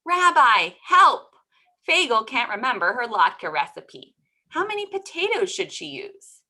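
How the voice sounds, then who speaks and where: A woman's voice: a little high, very animated, measured pace, pushed and bright, voice pushed up, full character voice. A woman doing an eager, simple-minded storybook husband. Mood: urgent. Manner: earnest, literal-minded.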